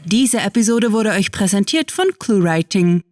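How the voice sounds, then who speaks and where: Female host, scripted outro read, middle-register voice, soft music bed. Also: medium pitch, moderate pace. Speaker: podcast host.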